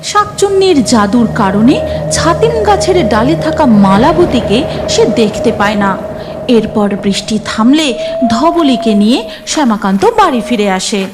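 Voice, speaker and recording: A woman's voice: fairly high pitch, very animated, a little slow, background noise. A woman narrating a cartoon story in a dramatic, scripted storytelling style. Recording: music under the voice.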